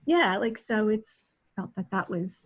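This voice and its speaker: A woman's voice: medium pitch, animated, measured pace, slightly rough. A middle-aged woman chatting casually as a co-host, in a chatty, self-deprecating way. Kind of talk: casual chat. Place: home room, indoors. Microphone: video call. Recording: dull lo-fi video-call audio.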